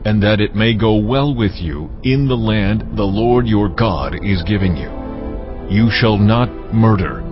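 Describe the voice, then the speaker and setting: An actor playing God, solemnly proclaiming commandments in a dramatized scripture reading. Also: male voice, on the low side, close to an everyday voice. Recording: dull sound with music behind. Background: music.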